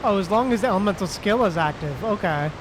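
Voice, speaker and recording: A man chatting casually as he livestreams a game, with hesitant, drawn-out phrasing. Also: sits high, lively intonation, background noise. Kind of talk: casual livestream chat while playing. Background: game music.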